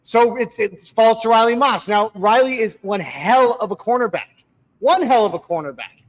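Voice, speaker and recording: High-voiced emphatic male sports talker. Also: moderate pace, poor audio. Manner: emphatic, repeats words for stress. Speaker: sports show co-host. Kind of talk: casual sports talk.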